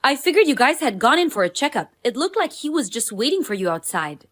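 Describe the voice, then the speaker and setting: A woman's voice: sits high, very animated, brisk, fairly bright tone, heavily acted. A woman playing a blunt, pushy female friend in a scripted story drama. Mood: insistent, puzzled. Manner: blunt, accusing. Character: Allison, pushy, indignant, spoiled but loyal woman friend.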